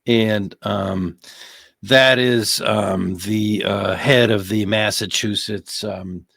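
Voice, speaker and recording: An American man hosting unscripted legal commentary, speaking haltingly and repeating phrases for emphasis. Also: lowish voice, steady tone, gravelly, fairly bright tone, no echo, studio quality. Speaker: host, lawyer commentating on a trial.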